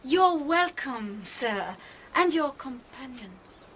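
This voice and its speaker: An actress playing a gracious, well-bred young gentlewoman in a radio drama, polite and gently formal. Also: high voice, sing-song, slow, slightly rough, full character voice.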